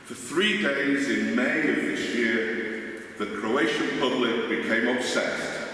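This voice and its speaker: A man's voice: mid-range voice, slow, bright, forceful tone. Echoey Liverpool man giving a lecture. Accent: Liverpool English. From Liverpool, England. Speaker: academic lecturer. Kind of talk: conference lecture. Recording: echoey hall, distant mic, faint noise.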